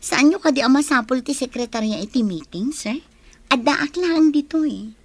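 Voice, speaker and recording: A woman's voice: high voice, animated, moderate pace, no room sound. A woman with a Filipino accent playing a coy young secretary smitten with her boss in an Ilocano radio comedy drama. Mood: eager, respectful.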